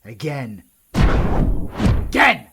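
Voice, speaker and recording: A man's voice: mid-range voice, wildly swooping pitch, extremely slow, vocal fry, a bit bright, husky, heavily acted. A British man playing a cocky, sarcastic, menacing supervillain in an audio roleplay. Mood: gleeful, goading. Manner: sarcastic, theatrical, foul-mouthed British slang. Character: cocky, taunting supervillain, flippant yet menacing killer. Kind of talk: ASMR audio roleplay acting.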